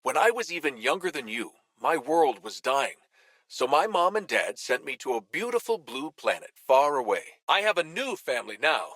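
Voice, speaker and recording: A man voicing a powerful superhero in a video game, speaking in an earnest, deliberate manner. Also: medium pitch, very animated, a bit bright, no room sound. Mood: warm, friendly. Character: powerful male superhero, once kindly, now menacing. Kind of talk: acted video game voice lines.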